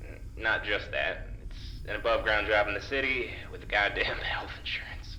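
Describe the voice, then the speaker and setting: A man playing a plain-spoken working-class security guard in a naturalistic audio drama, terse, hesitant and dry, reluctantly soft-hearted. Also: fairly low pitch, normal speed, echoey room, slight background noise, low-quality recording.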